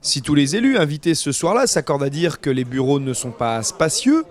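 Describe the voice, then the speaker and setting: A man narrating a scripted local news report as a reporter. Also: fairly high pitch.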